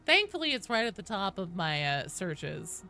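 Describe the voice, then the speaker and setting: A woman's voice: mid-range voice, big pitch swings, moderate pace, sharp tone, soft background music. A woman chatting casually and expressively as she hosts a gaming livestream, reading out and answering her viewers. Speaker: live streamer, host.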